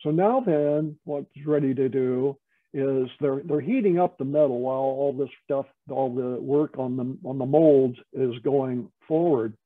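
A man giving an informal, explanatory slide presentation as an instructor, halting and often repeating words. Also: medium pitch, no echo. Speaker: metalworking studio lead, instructor. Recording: tinny, narrow phone-like call audio.

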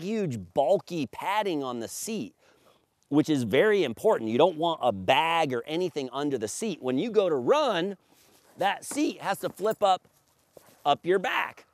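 A man's voice: on the high side, a little mellow, no room sound. A man in his forties giving an emphatic, salesman-like walkthrough of his product outdoors in a grassy field, reading points off a sheet. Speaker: paramotor maker demonstrating his gear. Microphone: camera at a few meters, standing and gesturing.

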